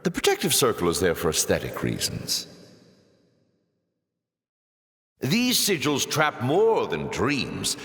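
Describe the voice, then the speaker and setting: An actor voicing a sardonic, pompous demon lord in a video game, taunting with dry, theatrical sarcasm. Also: male voice, medium pitch, wildly swooping pitch, moderate pace, fairly bright tone. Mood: wry, haughty. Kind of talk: scripted video game character voice lines. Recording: echoey hall with long reverb.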